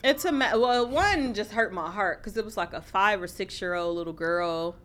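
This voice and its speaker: An American woman co-hosting a talk show in casual, blunt, profane banter, telling stories in an animated way. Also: mid-range voice, moderate pace. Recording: noticeable background noise.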